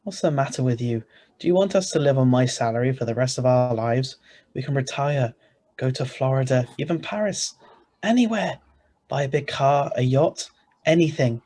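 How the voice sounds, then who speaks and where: A man as a wry, husky, stubborn husband. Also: mid-range voice, normal speed, mellow, close to an everyday voice. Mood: eager, stubborn. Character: stubborn, greedy ordinary husband, wry and brisk. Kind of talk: radio thriller narration and dialogue.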